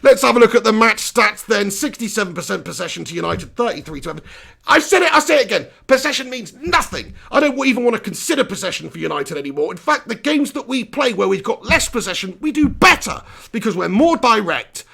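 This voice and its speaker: A man hosting a live post-match reaction, hoarse from losing his voice, ranting in an animated, exasperated way. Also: high voice, fast. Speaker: football fan channel host.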